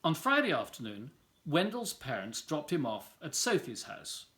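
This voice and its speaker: A man's voice: on the high side, big pitch swings, normal speed, fairly bright tone. A man reading a children's picture book aloud as a storyteller. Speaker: volunteer story reader.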